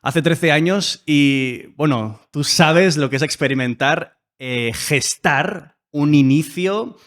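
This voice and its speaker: A man's voice: fairly high pitch, slow. A man hosting a church podcast, opening with energy and asking long, winding questions. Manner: energetic, upbeat opening that grows softer while asking questions. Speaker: podcast host. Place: creative studio in Madrid.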